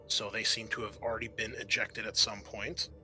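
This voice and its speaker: A man narrating a tabletop roleplaying game as game master, explaining things in a halting, improvised way. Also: lowish voice, flat delivery, pushed and bright, slight background noise.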